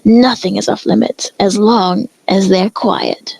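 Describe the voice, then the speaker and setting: A woman voicing an excitable cartoon pony sports announcer, delivering play-by-play style commentary. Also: medium pitch, takes it slowly, gravelly, husky, no room sound, heavily acted, low-quality recording. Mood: chatty commentary.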